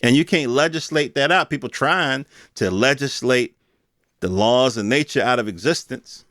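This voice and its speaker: A man's voice: medium pitch, normal speed, fairly bright tone, dry recording, clean studio sound. An American man hosting a podcast, giving unscripted news commentary in an emphatic, colloquial, repetitive way with rhetorical questions. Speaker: podcast host.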